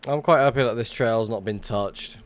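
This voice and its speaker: A man's voice: medium pitch, normal speed. A young man chatting casually while livestreaming a game, rambling and swearing now and then as he greets viewers. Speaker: livestream gamer. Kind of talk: casual live-stream chat while gaming.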